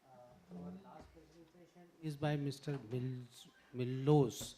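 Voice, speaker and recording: A man's voice: medium pitch, a little slow, mellow. A man moderating a conference session, formally introducing a speaker. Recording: noisy conference room pickup, clicks.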